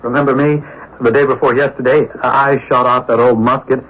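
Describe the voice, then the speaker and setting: An actor playing an eager, apologetic history buff in an old radio drama, earnest and easily flustered. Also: male voice, mid-range voice, flat delivery, a little fast, fairly bright tone, slight background noise, natural voice. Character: eager, apologetic male Civil War memorabilia buff. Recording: dull vintage radio recording.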